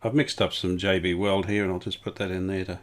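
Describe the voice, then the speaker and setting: A man with a low voice talks through hands-on restoration work as he goes. His delivery is understated and matter-of-fact, with the unscripted feel of a casual workbench vlog. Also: little pitch movement, a little fast. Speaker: restorer presenting a hands-on vintage radio repair.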